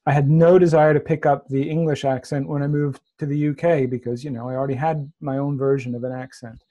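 An American man with a softened Boston accent, answering interview questions hesitantly. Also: mid-range voice, flat delivery, a little fast. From Massachusetts, USA. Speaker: guest. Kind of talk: conversational interview.

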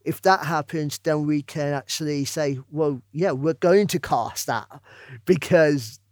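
A man's voice: on the high side, a little slow, dry recording, clean studio sound. A man chatting casually as an interviewer. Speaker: interviewer and theatre producer.

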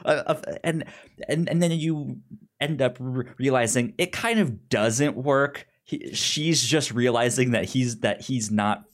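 Man, medium pitch, film podcast host, casual discussion, stammering, repeated syllables and words. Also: unhurried. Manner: acts out characters' lines while retelling.

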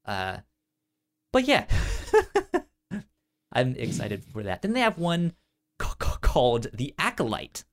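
A man with a stammer chatting casually and enthusiastically as a podcast co-host, often halting on repeated sounds. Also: medium pitch, wildly swooping pitch. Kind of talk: casual unscripted podcast chat about entertainment news.

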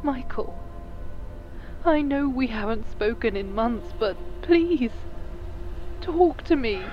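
A female actor as an earnest ex-therapist in an audio play. Her voice is on the high side and unhurried, careful and pleading. Faint music sits behind it. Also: lively intonation. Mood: concerned, pleading. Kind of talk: audio drama acting. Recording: slightly dull sound, faint music.

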